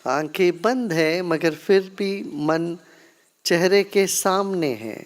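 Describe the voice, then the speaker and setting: Very slow, calm Indian meditation teacher. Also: medium pitch. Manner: calm, unhurried, gently instructing with long pauses. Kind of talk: guided meditation instruction.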